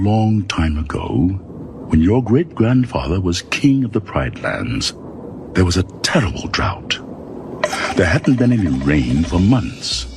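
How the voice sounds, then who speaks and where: A man narrating a children's storybook in an expressive, dramatic storytelling manner. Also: deep voice. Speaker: audiobook narrator. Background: music.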